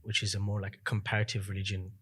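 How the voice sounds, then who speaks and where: A man in his thirties, a podcast guest, telling his story in a fast, reflective way with many fillers. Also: fairly low pitch, monotone, a bit bright, breathy edge. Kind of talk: casual interview chat.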